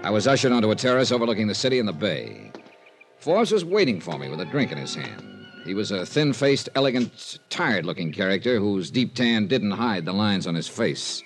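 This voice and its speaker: A man's voice: lowish voice, big pitch swings, a little fast, soft background music. An American man playing a wry, cool insurance investigator in 1950s radio detective style, with clipped delivery. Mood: dry narration. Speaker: radio drama lead and narrator.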